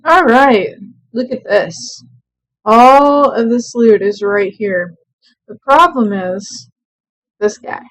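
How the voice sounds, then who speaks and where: A young woman casually commenting on her own strategy game play, chatty and quick to laugh at herself. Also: on the high side, breathy edge, no echo, rough recording. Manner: chatty, self-deprecating, laughs often. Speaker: let's play gamer.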